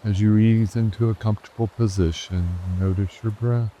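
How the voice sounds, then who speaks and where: A man guiding a sleep meditation in a slow, soothing, hushed way. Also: fairly low pitch, fairly even intonation, slight background noise. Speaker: meditation guide. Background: soft ocean waves and stream.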